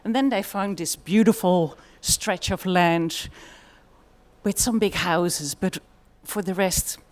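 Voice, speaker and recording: A woman's voice: lowish voice, slow pace. Dutch-accented woman giving a talk. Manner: thoughtful, with frequent fillers. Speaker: conference speaker. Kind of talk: conference presentation.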